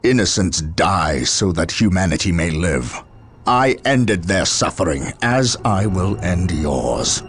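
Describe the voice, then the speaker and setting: A man playing a stern, battle-hardened space marine captain in a video game cutscene, grave and deliberate. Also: sits low, very animated, full character voice. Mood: grim defiance. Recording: dramatic music behind the voice.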